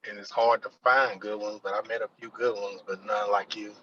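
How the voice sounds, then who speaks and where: A man's voice: lowish voice, level tone, brisk, bright, forceful tone, slightly rough. A man calling in to a group session, chatting casually over muffled audio. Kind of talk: casual chat. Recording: dull, muffled call audio.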